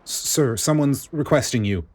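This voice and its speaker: A man's voice: medium pitch, moderate pace, darkish tone, breathy edge, voice pushed up, natural voice. A man voicing a young, nervous marine soldier in a story reading, stammering and timid.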